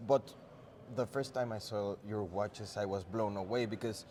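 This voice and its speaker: A man's voice: on the low side, fairly even intonation, measured pace, warm tone, slight background noise. Eager male interviewer, Spanish-native. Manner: eager, affirming with frequent "yes" and "of course". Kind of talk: casual interview.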